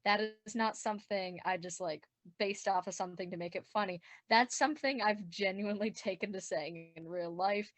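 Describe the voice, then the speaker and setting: A woman's voice: mid-range voice, moderate pace, few pauses, no room sound. A woman chatting casually in a group commentary, explaining things in a rambling, self-correcting way. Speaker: podcast creator and voice actor.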